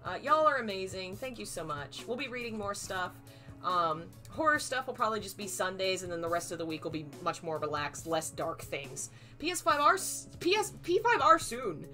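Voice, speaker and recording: A woman in her thirties reads a novel aloud from a small room at home, her voice a little hoarse. She voices the dialogue with feeling and lifts her pitch for the frightened lines. Faint music plays quietly behind her. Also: very animated, moderate pace. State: slightly hoarse. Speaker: streamer reading a novel aloud. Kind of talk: live book reading, then casual sign-off.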